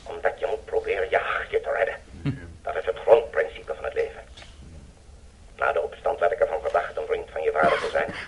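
An actor playing a bitter, self-justifying defector turned blackmailer in a Dutch radio play, grave and deliberate. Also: male voice, rumbling low, a little hiss or hum, rough recording. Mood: bitter, defensive.

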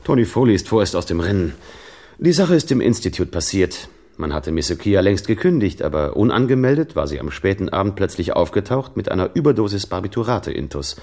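Grim cop boss, low husky voice, stern, radio crime play. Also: male voice, sing-song, a little fast, darkish tone, heavily acted. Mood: stern, probing. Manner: stern, interrogating. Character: grim, bossy male police detective. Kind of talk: radio crime drama acting.

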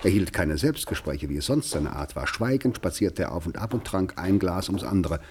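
Low-voiced male narrator. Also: lively intonation, moderate pace, a little mellow, slight background noise. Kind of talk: scripted literary narration in a radio play.

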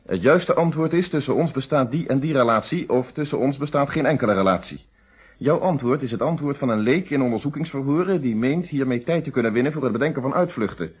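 A man's voice: medium pitch, expressive, fast talker, husky. An actor playing a blunt, probing police inspector in a radio play, stern and lecturing as he questions people. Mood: stern, formal. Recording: muffled vintage radio play recording.

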